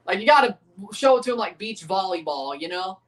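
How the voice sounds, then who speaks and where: A man's voice: high voice, lively intonation, brisk, fairly bright tone, distant mic, rough recording. A man, hosting a casual livestream reaction and rambling profanely while second-guessing himself. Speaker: livestream host reacting to a match.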